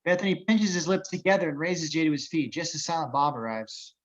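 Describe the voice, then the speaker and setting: A man's voice: fairly high pitch, animated, brisk, muffled recording. A man narrating the action of a script as reader of stage directions in an amateur table reading.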